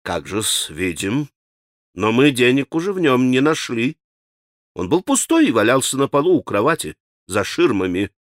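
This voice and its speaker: A man voicing a stern, methodical prosecutor in an audiobook, questioning a suspect in a formal, measured, insistent manner. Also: medium pitch, big pitch swings, no room sound, studio quality, close to an everyday voice. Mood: pressing, cool. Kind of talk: dramatic audiobook character acting.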